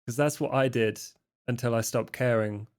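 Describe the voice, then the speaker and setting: A man with a medium-pitched voice plays a tabletop roleplaying game. He talks casually, improvising banter as he goes. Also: fairly even intonation, moderate pace, darkish tone.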